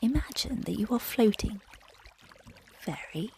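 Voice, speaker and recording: Woman, children's meditation storyteller, soothing and breathy, unhurried, creaky low edges, light noise and trickling water behind. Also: animated. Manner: gentle, soothing, hushed and breathy, often near whispering. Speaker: meditation guide and bedtime storyteller. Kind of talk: guided relaxation and bedtime story narration for children.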